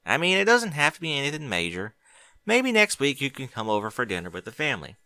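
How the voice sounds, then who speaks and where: A man's voice: mid-range voice, wildly swooping pitch, quick pace, sharp tone, no echo, voice pushed up, exaggerated voice. A man voicing an earnest young farm pony mare in a fan fiction audiobook, talking in a plain, folksy way. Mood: friendly.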